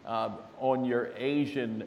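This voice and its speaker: A man moderating a live audience Q&A, formal but unscripted, with a deliberate, halting, wordy delivery. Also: mid-range voice, steady tone, muffled recording. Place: large hall with an audience. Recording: echoey hall with faint background noise.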